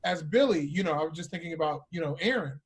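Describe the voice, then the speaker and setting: A man's voice: a little high, expressive, quick pace. A man hosting a casual conversation, informal and emphatic, teasing as he addresses the listeners. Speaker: host.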